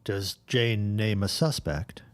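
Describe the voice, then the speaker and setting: Man playing protective father and neighbor, resolute, lowish voice, early twentieth-century audio drama. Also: slow. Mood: troubled, concerned.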